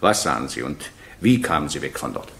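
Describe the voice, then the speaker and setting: An actor playing a stern, insistent judge in a radio courtroom drama, formal and probing. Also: male voice, very deep voice, very animated, brisk, gravelly, fairly bright tone, heavily acted. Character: stern, insistent male judge questioning a witness.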